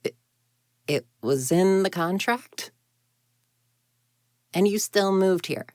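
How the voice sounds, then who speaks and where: A woman's voice: mid-range voice, animated, slow, a little mellow, no room sound, clean studio sound. A woman voicing a grumpy, proud young female ghost in an intimate roleplay audio drama, huffy and easily flustered. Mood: indignant, incredulous. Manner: huffy, indignant, flustered stammers, conversational.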